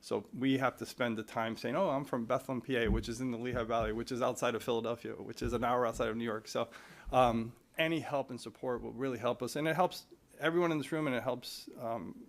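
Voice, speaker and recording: A man testifying before a legislative panel in an easy, conversational way. His pitch sits mid-range, and he often restarts phrases, repeating a word or two. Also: steady tone, fast talker, creaky. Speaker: tourism official testifying. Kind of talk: hearing testimony.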